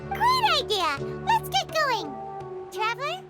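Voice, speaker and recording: A woman voicing a tiny, excitable, childlike fairy companion in a video game, chatty and a bit whiny. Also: extremely high pitch, slow, loud music behind the voice, heavily acted. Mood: cheerful. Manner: refers to herself in the third person. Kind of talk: animated video game voice acting.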